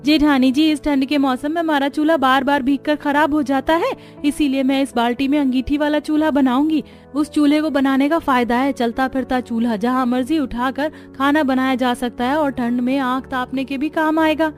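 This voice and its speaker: A woman's voice: high-pitched, fairly even intonation, normal speed, few pauses, soft background music, voice pushed up, natural voice. A woman voicing a practical, earnest young daughter-in-law from a poor village family in a cartoon. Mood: eager, practical.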